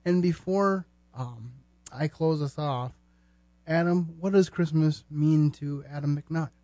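Male podcast host, mellow, dry close sound, earnest, measured phrasing. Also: a little high, no echo. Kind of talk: earnest conversational hosting.

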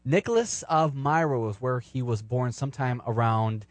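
A man's voice: medium pitch, moderate pace, no echo. A man giving an informal explanation as a podcast contributor.

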